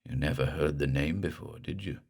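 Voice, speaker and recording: A man voicing a drowned dead man's slow guttural drawl. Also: very deep voice, darkish tone, pitched below the performer's own voice. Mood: menacing.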